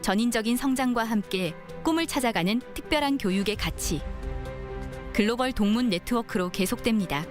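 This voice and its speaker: A woman reading a polished commercial voice-over in a smooth, promotional way. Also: on the high side, lively intonation, very fast, over music. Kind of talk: polished ad narration.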